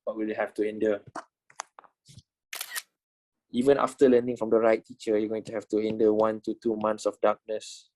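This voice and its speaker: A man's voice: on the low side, completely flat delivery, fast, darkish tone, poor audio. A man in his twenties teaching a casual trading class, swearing, repeating himself and asking "you know?" a lot. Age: 20s. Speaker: trading instructor. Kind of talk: casual online class wrap-up.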